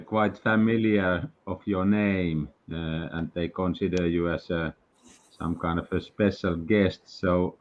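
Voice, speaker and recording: A man co-hosting an interview, asking his question hesitantly with many pauses. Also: low voice, lo-fi sound. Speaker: co-host.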